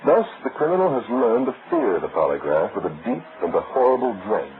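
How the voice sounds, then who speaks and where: A man's voice: medium pitch, huge pitch swings, a little fast. A man narrating a radio crime drama in a grave, old-fashioned scripted style that builds suspense. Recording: muffled, noisy vintage radio recording.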